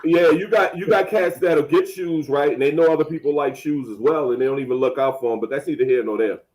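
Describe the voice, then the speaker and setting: A man co-hosting a podcast, chatting animatedly and teasing, stammering when excited. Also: fairly high pitch, fast, rough recording. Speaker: podcast co-host. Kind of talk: casual chat.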